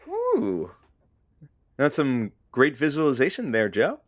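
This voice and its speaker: A man playing a wry, put-upon but fond radio station engineer in an audio drama, with a deadpan, sardonic manner. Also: mid-range voice, slow, a little hiss or hum. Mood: fond, dry. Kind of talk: audio fiction acting, radio announcing.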